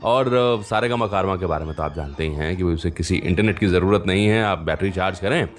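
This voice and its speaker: A man's voice: sits low, fairly quick. A man presents a music radio show, telling anecdotes in a chatty, conversational way that speaks straight to the listener. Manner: chatty, addresses listeners directly with frequent rhetorical fillers.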